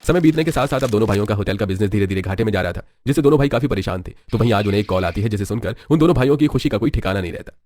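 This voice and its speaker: A man's voice: lowish voice, expressive, rapid-fire, hardly any pauses, a little mellow. A man narrating a scripted bedtime story as a storyteller. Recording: music behind the voice. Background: background music.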